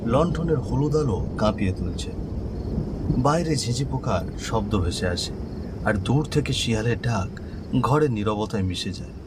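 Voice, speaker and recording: A man's voice: mid-range voice, expressive, normal speed, very noisy. A man narrating a scripted horror story. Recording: loud rain and thunder behind voice. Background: rainstorm, thunder.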